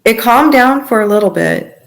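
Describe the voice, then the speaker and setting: A woman telling her personal story as a podcast guest, in a conversational, earnest and halting way. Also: fairly high pitch, animated, creaky.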